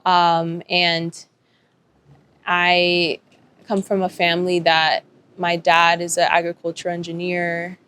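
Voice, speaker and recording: A woman artist on a panel, speaking thoughtfully with many pauses and fillers. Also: lowish voice, monotone, a bit bright, smooth. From Dominican and Peruvian family. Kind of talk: panel discussion.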